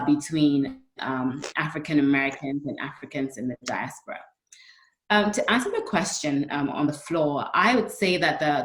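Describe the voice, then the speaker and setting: Roomy-sounding, low-voiced woman panelist. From Ghana. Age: 30s. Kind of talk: considered panel remarks.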